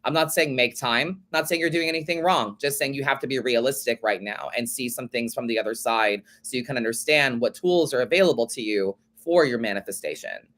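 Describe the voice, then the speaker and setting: A man's voice: mid-range voice, a little fast, hardly any pauses, fairly bright tone. A man with a stuffy, congested nose who hosts a show and reads tarot for listeners in a chatty way, calling them babe and honey and swearing now and then. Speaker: tarot reader and show host. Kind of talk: casual advice reading of listener questions.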